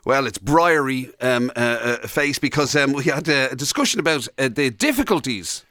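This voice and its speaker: Halting Irish man with lively, swinging pitch. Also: no echo, clean studio sound. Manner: halting, meandering delivery. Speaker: radio show host. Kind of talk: casual bantering chat.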